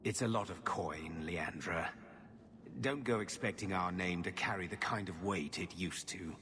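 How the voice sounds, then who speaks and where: An actor playing a shifty, down-on-his-luck middle-aged uncle in a video game, gruff, evasive and hemming as he talks. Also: low voice, unhurried, crowd noise. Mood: grudging. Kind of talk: video game drama acting.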